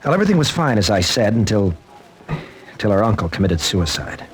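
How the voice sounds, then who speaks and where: An American actor playing a troubled college teacher with a halting, earnest way of talking, in 1940s-style radio drama. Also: male voice, on the low side, very animated, slight background noise. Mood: troubled, hesitant. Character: troubled, hesitant male college teacher confiding in a friend.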